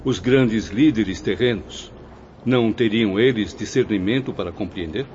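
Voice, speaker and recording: A man's voice: lowish voice, measured pace, a little mellow, close to an everyday voice. An actor playing a noble, learned professor in a radio drama, formal and courteous in his questioning, with a Brazilian accent. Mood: earnest, inquiring. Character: noble learned professor, courteous, inquiring pupil of spiritual masters. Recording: dull lo-fi audio with background noise.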